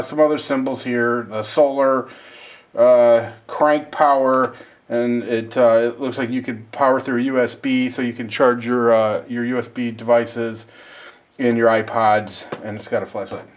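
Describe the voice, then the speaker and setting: A man giving a casual, rambling, self-deprecating unboxing and review as the host of a video. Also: mid-range voice.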